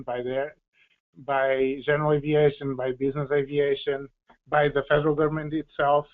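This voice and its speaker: A man with a flat, little-varying pitch gives explanatory answers as an expert on a panel. He often pauses to search for his words. The sound is thin and band-limited, like a phone call. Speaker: policy expert answering questions.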